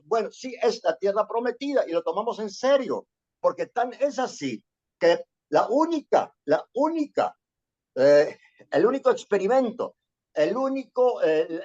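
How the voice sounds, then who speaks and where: A man's voice: sits high, darkish tone. A man from Venezuela giving a history lecture, often checking in with listeners and repeating himself as he searches for words. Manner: frequent questioning "¿sí?" and repetitions. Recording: dull lo-fi sound.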